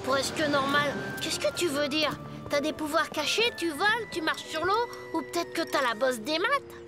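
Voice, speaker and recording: A woman's voice: sits high, expressive, normal speed, over music. A woman voicing a cheeky, nosy schoolboy sidekick in a cartoon. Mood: curious, eager. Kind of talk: French animated cartoon dubbing.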